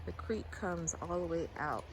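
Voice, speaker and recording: A woman giving a casual walking tour of her land. She has a cold, clears her throat now and then, and rambles as she goes. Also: lowish voice, normal speed, background noise. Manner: chatty, loose and rambling, repeats words. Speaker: farm owner vlogger. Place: outdoors on rural land in the rain.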